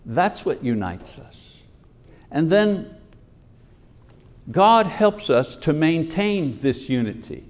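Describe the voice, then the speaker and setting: A man's voice: fairly high pitch, sing-song, slow pace, pauses often. An older man delivering a sermon at a church lectern, explaining and reading scripture in an earnest teaching manner over a podium microphone. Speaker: preacher.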